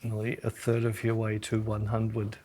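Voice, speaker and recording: A man's voice: fairly low pitch, completely flat delivery, normal speed, a little mellow. A man in his sixties co-hosting a podcast, chatting casually with dry, teasing banter. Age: 60s. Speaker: podcast co-host. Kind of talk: casual chat.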